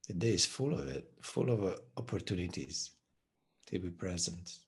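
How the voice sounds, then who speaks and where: A man speaking as a meditation teacher, reflective and soft-spoken, letting his phrases trail off quietly. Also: low voice, expressive, moderate pace, husky. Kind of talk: reflective spiritual talk.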